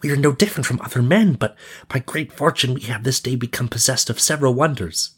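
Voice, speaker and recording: A man acts out a confident storybook prince, pitched a little above his own voice. The prince is courteous and formal, presenting himself like a showman. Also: fast, slightly rough. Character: young prince, courteous, confident wonder-worker.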